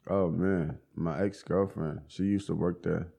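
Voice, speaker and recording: Laid-back lower-voiced young man. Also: steady tone, a little slow, creaky, warm tone, husky. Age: 20s. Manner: laid-back slang, many fillers and restarts. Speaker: interviewee. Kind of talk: casual conversation.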